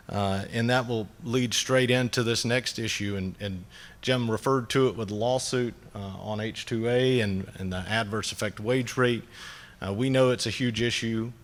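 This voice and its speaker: A man's voice: on the low side, a bit bright. A man from North Georgia with a Southern accent, giving a plain-spoken conference speech full of "uh" fillers and light jokes. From North Georgia, USA. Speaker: agricultural lobbyist. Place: meeting hall.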